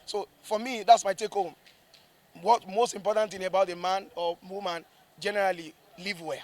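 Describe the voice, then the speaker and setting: A man's voice: high voice, fairly even intonation, normal speed, a little mellow. A man with a Nigerian accent, a stage actor giving a reflective interview, emphatic and repeating words for effect.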